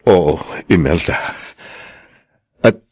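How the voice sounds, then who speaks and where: Husky, remorseful husband. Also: male voice, deep voice, drawn-out words, a little mellow, dry recording, heavily acted. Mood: remorseful, pleading. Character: remorseful, humbled husband and son seeking forgiveness. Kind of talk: Filipino radio drama acting.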